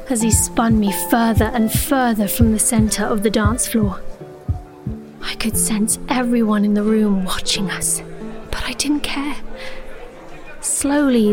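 An actress playing an earnest, romantic young sorceress princess, narrating a fantasy audio drama with expressive, breathy delivery. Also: female voice, a little high. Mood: dreamy narration, then hushed, yearning.

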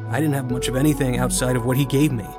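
Brash, entitled son, played by a male actor for scripted audio fiction; mid-pitched, wry, mouthy, music underneath. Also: fairly even intonation, quick pace, natural voice. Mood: wry, reflective. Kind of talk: dramatic first-person audio fiction narration and dialogue.

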